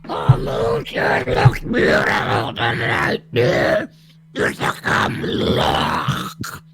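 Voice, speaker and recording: Horror radio drama: male actor for possessed neighbour woman, gravelly and strangled, wildly swooping pitch, sweetly menacing. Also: huge pitch swings, a bit bright, heavily acted. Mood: eerie coaxing. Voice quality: high-pitched, scratchy, strangled. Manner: slow, drawn-out, eerily sweet delivery. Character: creepy possessed elderly neighbour woman, sinister and coaxing.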